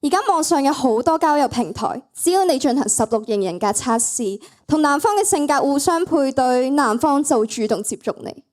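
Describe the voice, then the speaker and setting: High female voice, Hong Kong Cantonese, bossy teasing trendy friend, teenage student acting in a radio drama. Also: animated, normal speed, hardly any pauses, a little mellow. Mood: teasing, persuasive.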